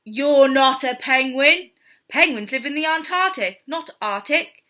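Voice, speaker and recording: A woman acts out a furious television wildlife man from a children's storybook. The voice is high and bright, with slow, blustering emphasis on every scolding phrase. He sounds thoroughly indignant and huffy. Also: exaggerated voice.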